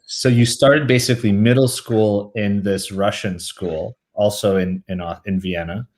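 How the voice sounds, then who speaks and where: A man's voice: fairly low pitch, steady tone, unhurried, darkish tone. A man hosting an interview podcast, asking curious questions with many restarts and repeated words. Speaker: podcast host. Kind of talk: casual interview.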